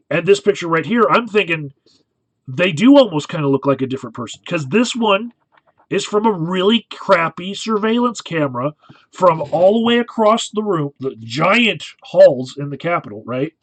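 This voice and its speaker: Male video commentator, on the higher side, casual and explanatory, checks in with listeners often, very dry sound. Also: lively intonation, normal speed, no echo, low-quality recording. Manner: conversational, frequently checks in with "right?". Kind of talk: casual analytical commentary comparing images.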